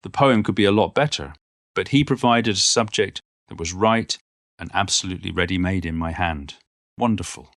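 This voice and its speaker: A low-voiced, wry elderly poet. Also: male voice, normal speed, fairly bright tone, dry recording, clean studio sound. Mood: fond, modest. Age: older. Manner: modest, wry, reflective. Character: elderly Cornish male poet and former schoolteacher reminiscing, modest and wry. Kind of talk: reflective first-person monologue, read from a book.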